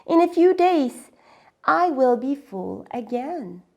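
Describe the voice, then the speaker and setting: A woman voicing an old talking well in a children's storybook, firm and emphatic, counselling patience with drawn-out words. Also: sits high, very animated, gravelly, pitched above the performer's own voice, full character voice. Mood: firm, advising. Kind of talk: children's storybook read-aloud.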